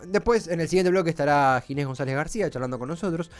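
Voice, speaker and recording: An Argentine man hosting a radio show informally, with florid, halting phrasing and roundabout introductions. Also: a little high, animated. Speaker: radio host.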